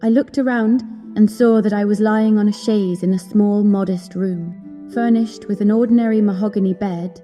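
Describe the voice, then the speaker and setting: A woman playing a wistful young opera singer who recounts her story in an audio drama, in measured, earnest, literary phrasing. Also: mid-range voice, fairly even intonation, dark tone, natural voice. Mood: subdued unease. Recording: long hall reverb, music behind.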